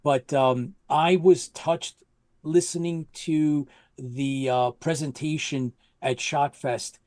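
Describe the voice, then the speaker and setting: A man's voice: a little high, fairly even intonation, slow, darkish tone, no room sound. A man hosting a casual live web show, talking in a loose, rambling way with many fillers and restarts. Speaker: show host. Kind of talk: casual livestream chat.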